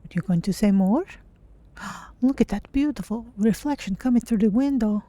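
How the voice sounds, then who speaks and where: A mellow, soft-edged woman playing a feline adventurer for a game stream. She is polite and curious, choosing measured, formal words as she talks through her exploration. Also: mid-range voice, dry recording, lightly acted. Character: polite, curious female Khajiit cat-folk adventurer. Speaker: streamer voicing own game character. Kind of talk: in-character game playthrough commentary.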